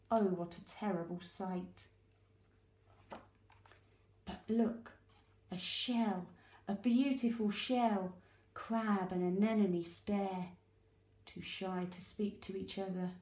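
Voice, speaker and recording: A British woman, an early years teacher, reading a children's storybook aloud in an expressive, deliberate way. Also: medium pitch, echoey room. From United Kingdom.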